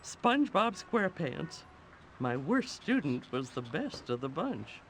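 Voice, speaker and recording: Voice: medium pitch, sing-song, unhurried, a little hiss or hum, low-quality recording. A performer voicing a prim, anxious middle-aged woman who teaches driving in a cartoon video game, proper and fretful. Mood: dismayed.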